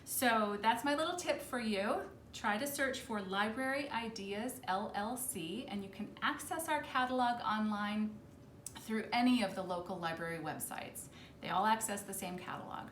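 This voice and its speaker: A woman's voice: mid-range voice, moderate pace, fairly bright tone. A woman librarian casually presenting children's books in a chatty, informal way, explaining things as she goes. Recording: roomy echo with faint background noise.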